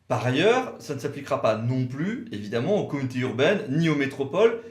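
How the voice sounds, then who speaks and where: A man explains legal points step by step as a co-presenter. His intonation moves up and down in a lively way, with an instructive delivery. The room adds an audible echo. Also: medium pitch, a little fast. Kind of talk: explanatory legal video talk, semi-scripted. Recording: roomy, echoey room sound.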